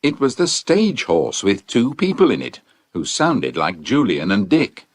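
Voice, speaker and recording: A man's voice: fairly low pitch, wildly swooping pitch, unhurried, dry recording, studio quality. A British man narrating a scripted children's adventure story.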